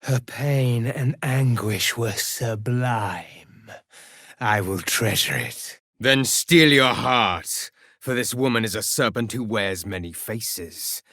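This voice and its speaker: A man's voice: mid-range voice, slow, a bit bright, no echo, clean studio sound. A man playing a solemn, menacing necromancer judge hungry for vengeance in a fantasy video game, speaking formally and theatrically in archaic phrases. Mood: dark relish.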